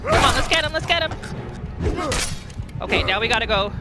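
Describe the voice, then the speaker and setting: A woman giving casual, animated commentary as she plays a video game, often talking to the characters. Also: on the high side, brisk. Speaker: gaming video host. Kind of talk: casual game playthrough commentary with journal read-alouds. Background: game music.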